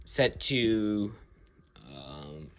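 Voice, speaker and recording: A man giving a casual, unscripted review of his hobby collection, talking haltingly and pausing mid-phrase. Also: on the low side, animated, a crowd in the background. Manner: halting, rambling delivery with pauses mid-phrase.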